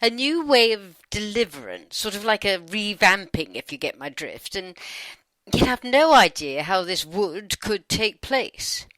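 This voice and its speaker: A woman speaks in a bright voice that swings expressively up and down. She is wry and informal as she reads her questions in a channelled dialogue. The recording is close and dry. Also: mid-range voice, very animated, measured pace, no echo, low-quality recording. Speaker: channeller putting questions to spirit beings. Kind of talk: conversational reading of a channelled dialogue.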